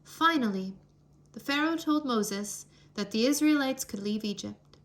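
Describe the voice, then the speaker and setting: A woman reading a children's history story aloud in an expressive, deliberate, scripted storytelling manner. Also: on the high side. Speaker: storybook reader.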